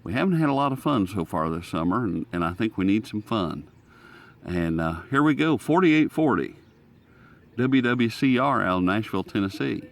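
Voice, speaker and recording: A man's voice: lowish voice, expressive, moderate pace, vocal fry. An American man hosting a casual hobby radio demonstration outdoors, talking in a folksy, rambling way. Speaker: host. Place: outdoors in sunlight.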